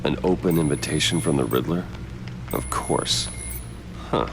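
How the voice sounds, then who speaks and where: A guarded, serious video game hero, with an extremely low voice full of gravel. He speaks tersely and dryly, over faint background noise. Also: measured pace. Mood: focused. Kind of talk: naturalistic video game acting.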